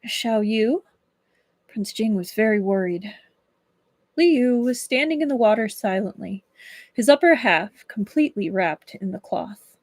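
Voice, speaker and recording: A woman livestreaming as a book reader, with expressive storybook narration and casual chat. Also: mid-range voice, a little slow, dry recording.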